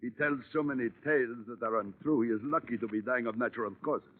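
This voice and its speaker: A man's voice: mid-range voice, quick pace, lightly acted. A man playing a suave crime boss in a 1950 radio drama, formal and courteous with veiled menace, on an old recording. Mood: silky menace. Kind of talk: 1950s radio villain acting. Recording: narrow, clicky, noisy old radio recording.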